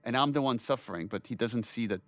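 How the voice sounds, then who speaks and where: A man's voice: medium pitch, fast talker, no echo. A man giving casual, analytical commentary on a story in a reflective, conversational way.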